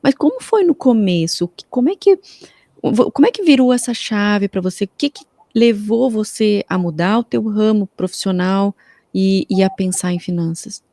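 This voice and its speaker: A woman's voice: medium pitch, expressive, moderate pace, warm tone, dry recording, clean studio sound. A woman with a Brazilian accent hosting a podcast in a casual interview style. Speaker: podcast host.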